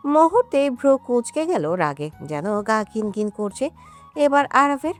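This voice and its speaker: A woman tells a romantic story in a high, very lively voice. Her scripted narration is emotive and melodramatic, set over music. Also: a little slow. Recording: music under the voice.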